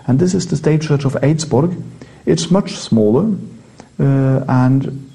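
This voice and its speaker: A Norwegian-accented man with a mellow, warm voice at a middling pitch. He explains things in a relaxed, chatty way, often doubling up his words and breaking into the odd laugh, and he sounds like a priest being interviewed. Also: slow, gravelly.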